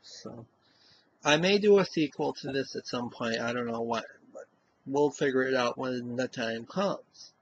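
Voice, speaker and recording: A man's voice: medium pitch, a little slow, lo-fi sound, slight background noise. A man with a Western Massachusetts accent, talking casually to camera in a rambling way, often tacking on "you know?". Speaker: vlogger.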